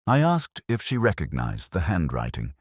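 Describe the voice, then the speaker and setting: Very deep-voiced male mystery narrator. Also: wildly swooping pitch, breathy edge, dry recording, studio quality. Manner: measured, literary, reflective. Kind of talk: scripted first-person detective story narration.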